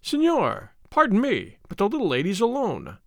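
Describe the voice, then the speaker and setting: A man's voice: high-pitched, huge pitch swings, normal speed, gravelly, fairly bright tone, no echo, studio quality, pitched above the performer's own voice, heavily acted. A man voicing a deferential, nervous household servant in an audiobook, hesitant and apologetic as he speaks. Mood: nervous protest.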